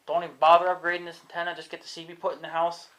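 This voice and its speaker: A man's voice: a little high, completely flat delivery, rapid-fire, a bit bright. A young man, thinking aloud in a casual vlog, unsure of himself and swearing freely. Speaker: vlogger. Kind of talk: rambling casual talk to camera.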